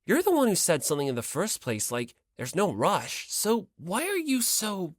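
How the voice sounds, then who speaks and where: A man's voice: sits high, wildly swooping pitch, normal speed, no room sound, clean studio sound, voice pushed up, full character voice. A man voicing an irritable, defensive teenage boy with a snappish, impatient manner in an audiobook. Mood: irritated, snappish.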